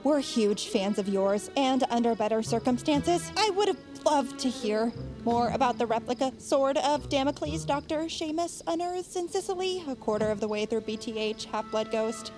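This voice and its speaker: An actress playing an earnest, excitable high school girl in an audio drama. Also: normal speed, music in the background, close to an everyday voice. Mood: nervous, earnest.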